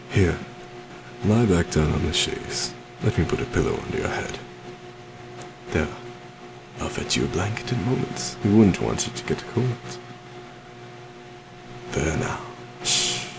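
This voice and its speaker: A suave, possessive stage magician acted by a man in an ASMR roleplay, speaking very low with lively, swooping intonation. He is courtly and theatrical, sweetly menacing as he coaxes, over a clear steady background hum. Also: unhurried, pauses often. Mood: possessive concern. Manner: theatrical, courtly, soft coaxing with terms of endearment.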